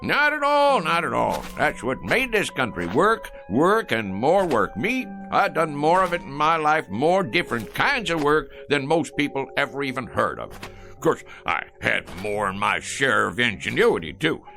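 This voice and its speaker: Folksy, boastful old American codger. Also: male voice, medium pitch, very animated, normal speed, vocal fry, bright, forceful tone, faint music behind, heavily acted. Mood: boastful, cheerful. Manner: rambling, bragging, folksy, chuckling and fumbling for words. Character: boastful, folksy old man running a gas station. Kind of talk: radio drama acting.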